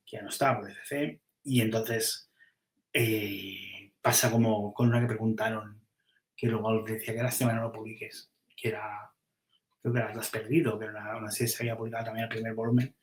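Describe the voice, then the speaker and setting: A man's voice: on the low side, flat delivery, a little fast, echoey room, low-quality recording. A man, a comics publisher, chatting casually on a podcast and thinking aloud as he weighs which titles to publish, with frequent restarts.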